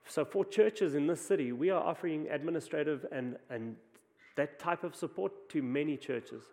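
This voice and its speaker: A man's voice: a little high, normal speed, darkish tone. A man with a South African accent, preaching a conversational sermon with frequent restarts. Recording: long hall reverb.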